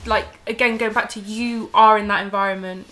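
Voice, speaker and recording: A woman's voice: medium pitch, fairly even intonation, brisk, crowd noise. A woman, chatting casually as a guest and filling her talk with "like". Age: 20s. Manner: chatty, lots of "like" fillers. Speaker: guest, host's sister. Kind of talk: casual chat.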